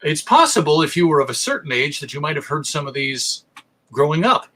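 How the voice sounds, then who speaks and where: Lecturer, male, American: slightly high, very bright voice, articulate storytelling delivery with dramatic emphasis. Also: sing-song, a little fast. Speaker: presenter, radio show host. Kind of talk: illustrated history lecture.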